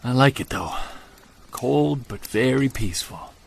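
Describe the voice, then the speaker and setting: An actor playing a wistful separated man who hunts Bigfoot, narrating his audio-drama field journal in a creaky, conversational, earnest voice. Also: medium pitch, lively intonation, a little slow, a little hiss or hum. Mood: relaxed.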